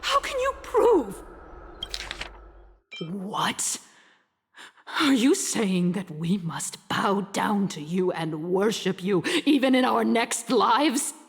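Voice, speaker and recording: A woman's voice: medium pitch, big pitch swings, slow, husky, exaggerated voice. An actress playing a proud, indignant female council elder, sputtering with outrage in a game cutscene. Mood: outraged.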